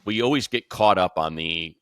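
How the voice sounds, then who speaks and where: A man's voice: low voice, moderate pace, fairly bright tone, clear, resonant tone, no room sound, studio quality. A man hosting a sports talk discussion, speaking emphatically and repeating phrases for stress. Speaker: sports show host and commentator.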